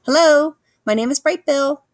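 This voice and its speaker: A woman voicing a small, eager, friendly boy gosling with a bright polite manner. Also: sits high, moderate pace, no room sound, pitched above the performer's own voice, close to an everyday voice.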